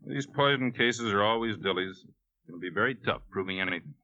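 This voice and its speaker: An actor playing a blunt, businesslike police captain in a 1950s radio drama. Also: male voice, lowish voice, a little fast, bright, forceful tone, a little hiss or hum. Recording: dull, dry vintage radio recording.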